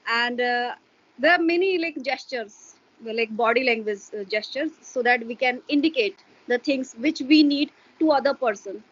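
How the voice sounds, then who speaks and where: A woman's voice: fairly high pitch, moderate pace, slightly rough, lo-fi sound. A woman, a Hindi speaker, explaining her views in an interview with a hesitant manner and many fillers. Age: adult parent. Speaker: interview guest. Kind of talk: casual explanatory interview talk.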